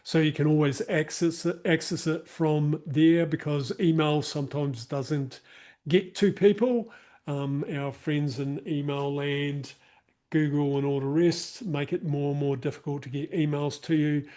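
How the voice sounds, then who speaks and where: A man's voice: on the high side, fairly even intonation, measured pace, dark tone. A man with a New Zealand accent hosting an online workshop, chatting casually with many fillers and restarts over a video call mic. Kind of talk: casual closing remarks to a live online group.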